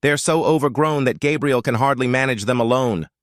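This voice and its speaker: Storybook heroine, young, British, played by a male narrator, soft, earnest and polite, raised pitch. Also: brisk, no echo, studio quality, close to an everyday voice. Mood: polite, hopeful. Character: earnest, kind, curious young woman in a fairytale.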